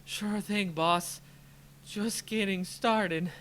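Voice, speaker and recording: A fiery young sorceress, acted out by a man, brash and full of bravado in a fantasy tale. The pitch is raised well up and the delivery is forceful and eager, with faint noise behind. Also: fairly even intonation, very slow, full character voice. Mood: weary bravado. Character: fiery, cocky young woman fire mage.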